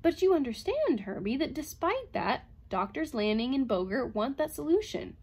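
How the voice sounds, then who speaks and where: A woman's voice: high-pitched, sing-song, moderate pace, darkish tone, pitched above the performer's own voice. A woman voicing a commanding female robot psychologist in an audiobook, insistent and methodical. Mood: pressing. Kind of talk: dramatized audiobook reading.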